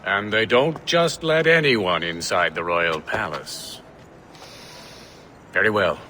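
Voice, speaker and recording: A man's voice: lowish voice, slow pace, sharp tone, slight background noise. An actor playing a genteel, elderly statesman in a video game, courteous, flustered and hesitant. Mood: courteous, obliging. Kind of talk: scripted video game dialogue.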